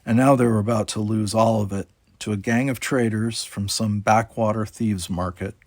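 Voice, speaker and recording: A man's voice: on the low side, steady tone, moderate pace, no room sound. A man reading a children's adventure story aloud as scripted narration. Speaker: audiobook reader.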